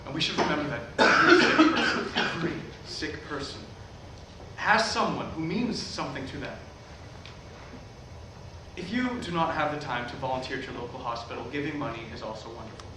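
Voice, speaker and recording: A man's voice: on the high side, animated, a little fast, lightly acted. A man acting an earnest, weary megachurch pastor in a staged play reading. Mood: earnest preaching. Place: theatre at a live staged reading. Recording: echoey, noisy hall recorded from far off.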